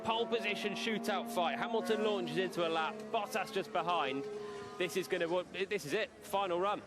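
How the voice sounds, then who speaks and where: A man giving measured, analytical co-commentary on a motor race. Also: high-pitched, over music. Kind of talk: live motor racing analysis.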